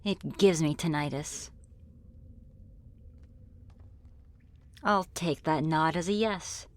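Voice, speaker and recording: Woman playing a lower-voiced, teasing friendly demon. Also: a little slow. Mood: relaxed, curious. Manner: casual, teasing, politely menacing. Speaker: roleplay voice performer. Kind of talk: ASMR roleplay, scripted one-sided dialogue.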